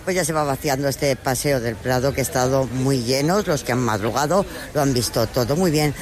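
A woman from reporting live from a street parade, lively and bright. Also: very deep voice, fairly quick, faint music behind. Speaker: field reporter.

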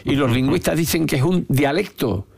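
An older man with an Andalusian accent, giving opinionated, anecdotal answers in an interview, often halting and repeating words. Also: a little high, vocal fry, a little mellow, husky. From Andalusia, Spain. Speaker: interview guest, veteran Andalusian politician. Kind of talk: unscripted reflective interview talk.

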